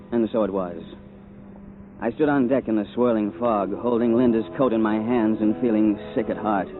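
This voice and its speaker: A tinny old radio recording with music behind carries a man playing a world-weary adventurer hero. He is low-pitched, terse and dryly wry, in the manner of 1940s radio drama. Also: lightly acted. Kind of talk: 1940s radio drama acting and first-person narration.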